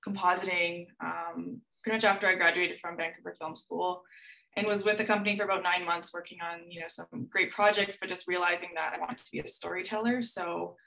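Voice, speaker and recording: A woman writer-director introducing herself on a video-call panel, clear and composed. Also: on the low side, fairly even intonation, a little fast, bright, forceful tone, rough recording. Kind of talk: casual panel talk. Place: home room, video call.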